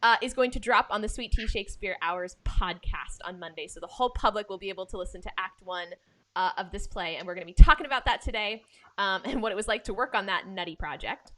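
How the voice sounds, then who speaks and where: A woman's voice: medium pitch, fast, pushed and bright. A woman from the United States hosting an arts podcast in a casual, enthusiastic and effusive chatting style. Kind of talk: casual chat, introducing guests.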